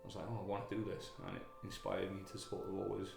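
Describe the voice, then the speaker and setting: A man with a very deep, gravelly voice speaks haltingly, full of fillers and restarts as he tells his own story. The room around him is echoey, and clear music plays behind. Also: little pitch movement. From Wales. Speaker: guest, army veteran. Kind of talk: candid personal interview.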